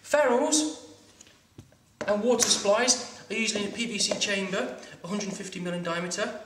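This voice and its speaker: A man's voice: high voice, normal speed, recorded from a distance, background noise. Man teaching plumbing, echoey room. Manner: practical, instructive, stumbling restarts. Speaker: plumbing instructor. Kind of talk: hands-on demonstration lesson. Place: training workshop room. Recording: echoey room, voice a bit off-mic.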